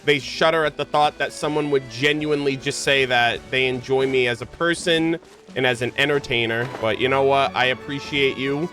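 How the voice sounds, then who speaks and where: A man's voice: mid-range voice, fairly even intonation, normal speed, bright, forceful tone, soft background music. A man live streaming a game, chatting informally and jokingly with his viewers in slangy language. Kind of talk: casual game streaming chat.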